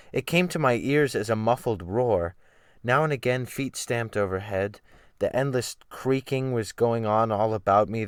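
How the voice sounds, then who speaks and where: A man's voice: mid-range voice, clear, resonant tone, no echo, clean studio sound, lightly acted. A man reading a dramatic audiobook as a refined, bookish, self-pitying scholar, with fussy, emphatic literary phrasing.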